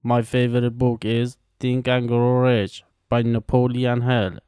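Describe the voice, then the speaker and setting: Careful, mellow man. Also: medium pitch, level tone, clean tone, dry recording, studio quality. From Iran. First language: Farsi. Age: 20s. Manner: slow, careful, evenly paced delivery with pauses between answers. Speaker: language teacher. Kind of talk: reading scripted practice answers.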